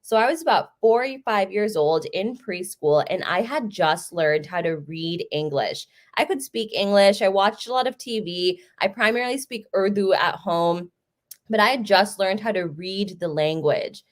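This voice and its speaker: A woman's voice: mid-range voice, animated, moderate pace. A young American woman from Chicago giving a conversational talk over a video call, telling personal stories. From Chicago, United States. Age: young adult. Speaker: guest speaker. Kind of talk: storytelling talk.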